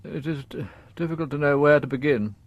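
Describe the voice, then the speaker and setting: A man playing a dignified, middle-aged upper-class father in a radio drama, confessing in formal, halting, precise phrases. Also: a little high, dry recording, highs cut off, natural voice. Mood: grave, guarded.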